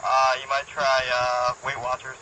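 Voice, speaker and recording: Male game store clerk, medium pitch, extremely bright speakerphone sound, laconic and deadpan. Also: a little slow, rough recording. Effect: phone on speaker. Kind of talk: customer service phone talk. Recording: harsh speakerphone picked up outdoors, faint noise.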